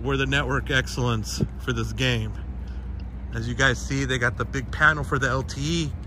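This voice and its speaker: A man of average pitch talks casually to his viewers, calling them "guys" as he reads numbers off the screen. He is outdoors at night and films on a handheld phone. Passing cars and street noise come through clearly behind him. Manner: relaxed, reads out speed test numbers, addresses viewers as guys. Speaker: tech vlogger testing phone networks. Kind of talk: casual on-the-spot commentary to viewers. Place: outdoors on a city street at night near a stadium. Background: passing traffic and street ambience.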